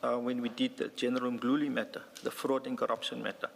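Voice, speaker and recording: Male South African police witness, formal and somewhat halting testimony, reverberant hearing-room sound. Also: mid-range voice, level tone, gravelly. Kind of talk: formal testimony, partly reading a statement aloud. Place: large indoor hearing room.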